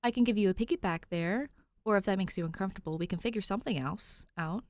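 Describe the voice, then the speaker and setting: A woman's voice: mid-range voice, quick pace, darkish tone, no echo, natural voice. Protective young policeman, played by a woman, earnest and apologetic, recorded dry for a fan fiction audiobook. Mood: relieved. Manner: placating, worried, pleading.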